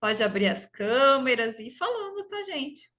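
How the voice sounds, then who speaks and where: A woman's voice: high voice, big pitch swings, moderate pace, fairly bright tone. A woman hosting a live video call, inviting viewers to speak and introducing her guest, hesitant and full of tag questions. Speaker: live-stream host. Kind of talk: casual live-stream hosting.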